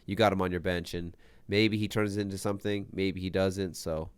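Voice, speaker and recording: Young guy, congested, low smooth voice, dry close mic, emphatic casual podcast co-host. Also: steady tone, normal speed, no room sound. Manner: emphatic, repeats his points. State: congested from a sinus infection. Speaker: fantasy football podcast co-host.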